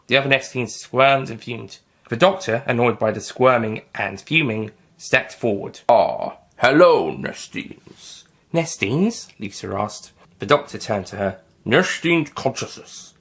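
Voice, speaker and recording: Guy narrating an audiobook, bit raspy and gravelly, scripted story reading. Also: lowish voice, a bit bright.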